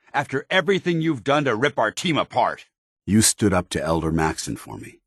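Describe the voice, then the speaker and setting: Casting: proud military paladin for a game, very low, husky voice, formal and earnest, recorded dry. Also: male voice, no room sound, full character voice. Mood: angry, reproachful, then sincere, warm. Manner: formal, clipped, commanding, earnest. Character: stern, proud, earnest male military paladin soldier companion. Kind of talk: scripted video game companion dialogue.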